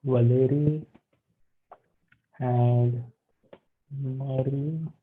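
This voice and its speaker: A man's voice: medium pitch, fairly even intonation, drawn-out words, a little hiss or hum. A man with an Indian accent teaching an online yoga anatomy class, friendly and asking his students questions. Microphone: video call. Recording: tinny video call audio.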